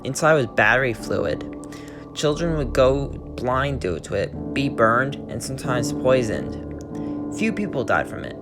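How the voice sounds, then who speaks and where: A man's voice: mid-range voice, sing-song, unhurried, music in the background. A man hosting his channel who reads a creepypasta aloud word for word, often stumbling and restarting his sentences. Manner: plain reading with frequent stumbles and restarts. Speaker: channel host and story reader.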